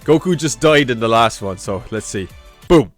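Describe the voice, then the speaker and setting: A man presents a reaction video in a casual, excitable manner. His voice sits at an average pitch, and faint music plays behind him. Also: moderate pace. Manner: hyped, repeats lines he enjoys, says dude a lot. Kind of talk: casual chatty reaction commentary.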